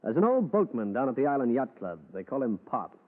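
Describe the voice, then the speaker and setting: An actor playing a dry, wisecracking hardboiled private detective who also narrates the story, in 1940s radio style. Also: male voice, medium pitch, very animated, fairly quick. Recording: tinny old radio broadcast.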